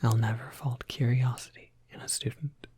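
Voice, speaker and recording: A person voicing a courteous Cerberus professor in a whispered ASMR roleplay, polite, gently scholarly and prone to rambling, with a soft breathy whisper. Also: mid-range voice, steady tone, moderate pace, no room sound. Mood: courteous, welcoming.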